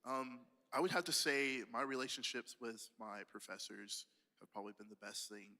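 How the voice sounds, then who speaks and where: A man's voice: mid-range voice, moderate pace, pushed and bright. A man in his twenties from Tennessee, a student speaking earnestly on a stage panel into a handheld mic. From Tennessee, USA. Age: 20s. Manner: earnest, encouraging. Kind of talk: casual stage talk. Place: large stage hall, indoors. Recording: long hall reverb.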